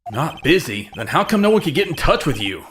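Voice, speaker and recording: A man's voice: fairly high pitch, very animated, fairly quick, a bit bright, a little hiss or hum. A man voicing an earnest young defense lawyer in a video game fan dub, hesitant, with dry, exasperated asides. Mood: hesitant, wry.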